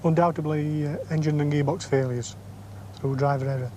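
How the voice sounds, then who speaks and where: A British man giving formal, matter-of-fact interview answers as a technical engineer, in measured phrases. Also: a little high, fairly even intonation, dark tone, a little hiss or hum. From Yorkshire area, England. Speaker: depot engineer.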